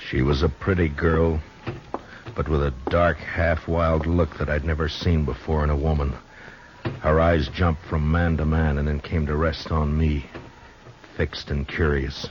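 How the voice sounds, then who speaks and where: An actor playing a weary, steady frontier lawman narrating a radio western in a measured, grave way. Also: extremely low pitch. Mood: grave, watchful. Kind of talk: dramatic old-time radio western narration. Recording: dull, noisy old radio recording.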